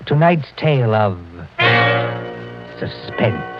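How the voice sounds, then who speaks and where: A man narrating and announcing a radio mystery in a measured, dramatic 1940s style. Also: mid-range voice, animated. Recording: dull 1940s radio recording. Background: music.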